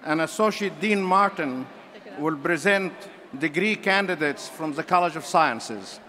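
An older man at a podium microphone giving a slow, measured, formal announcement at a university commencement in a large indoor arena. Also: fairly high pitch, expressive, bright, forceful tone. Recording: long arena reverb.

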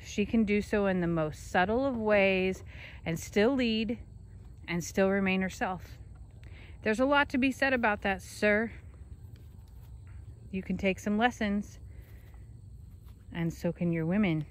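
A woman's voice: mid-range voice, unhurried, long pauses. A woman filming on a phone in an open pasture, chatting to her farm animals and scolding them fondly in a casual way. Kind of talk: casual off-camera narration. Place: outdoor pasture.

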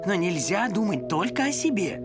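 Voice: mid-range voice, big pitch swings, moderate pace, over loud music, close to an everyday voice. A performer voicing an earnest, caring older sibling dog in a cartoon. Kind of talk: animated cartoon voice acting.

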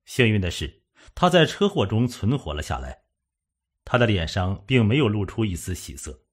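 A man's voice: on the low side, sing-song, moderate pace, slightly rough. A man narrating a suspense novel from a script, as an audiobook reader.

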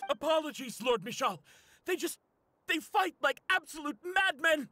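Casting: terrified game foot soldier; strained high voice, panicky, stumbling delivery. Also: male voice, lively intonation, normal speed, sharp tone, heavily acted. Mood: panicked. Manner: apologetic, panicky, faltering. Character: frightened male mercenary underling reporting to his leader.

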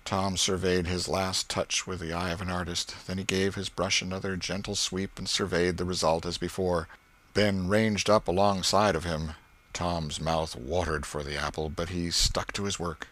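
A man reads a literary story aloud as an expressive audiobook narrator. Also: low voice, normal speed.

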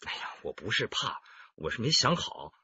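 A very husky, animated husband. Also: male voice, fairly low pitch, normal speed, no room sound, highs cut off, full character voice. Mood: hesitant, wary. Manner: theatrical teasing, hesitant when worried. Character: cautious, joking husband, a fussy homeowner. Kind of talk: radio drama acting.

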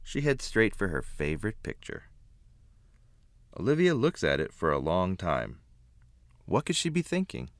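A man reading a children's picture book aloud with expressive, emphatic phrasing. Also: low voice, normal speed, long pauses, no room sound, studio quality. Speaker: children's book reader, narrator. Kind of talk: read-aloud storybook narration.

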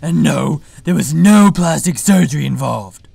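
A man playing a brooding, boastful masked superhero in a parody vlog, with a raspy growl and a deadpan, self-important delivery. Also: on the high side, unhurried, dry recording, faint music behind, heavily acted. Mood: boastful, instructive. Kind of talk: parody vlog monologue to camera.

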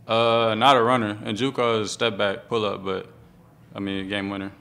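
Young male athlete, low and gravelly, quick flat answers into a table mic. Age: young adult. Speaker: college basketball player. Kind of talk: postgame press conference answers. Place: press room in front of a sponsor backdrop. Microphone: table microphone.

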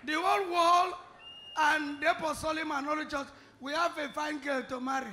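Shouting pastor. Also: male voice, extremely high pitch, fairly even intonation, moderate pace, noisy background. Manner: exhorting, shouting to the crowd. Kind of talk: preaching to congregation. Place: large church hall. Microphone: handheld microphone.

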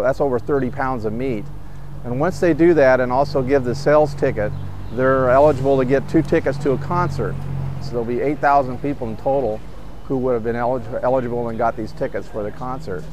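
A man's voice: medium pitch, a little fast, noisy background. Man explaining steadily in an interview. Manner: steady explanatory tone with small repetitions. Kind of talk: on-camera interview.

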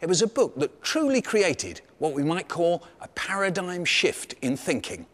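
A man's voice: high voice, sing-song, moderate pace, fairly bright tone. A man in his sixties with an English accent hosting a panel discussion in a conversational, questioning way. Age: 60s. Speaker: host.

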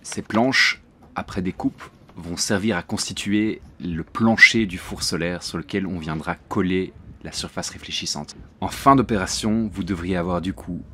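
A man narrating a do-it-yourself building tutorial in a relaxed, friendly voice-over, slipping slang into his step-by-step instructions. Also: low voice, normal speed, slight background noise. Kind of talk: casual DIY tutorial voice-over. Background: faint music.

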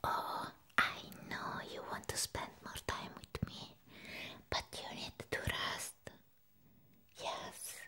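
A woman voicing a sweet, adoring vampire in a whispered ASMR roleplay, speaking tenderly and repeating soft words to comfort a human friend. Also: takes it slowly, natural voice. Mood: caring, soothing. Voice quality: breathy unvoiced whisper.

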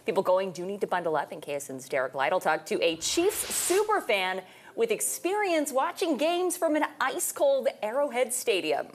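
A woman's voice: mid-range voice, very animated, moderate pace, a little hiss or hum. Female TV news anchor. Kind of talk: news anchoring and studio chat. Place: TV news studio.

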